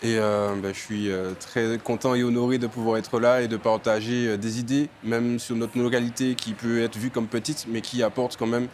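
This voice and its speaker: A man's voice: mid-range voice, little pitch movement, moderate pace, hardly any pauses, darkish tone, a little hiss or hum. A young man from Martinique, an entrepreneur, answering interview questions with many fillers and restarts.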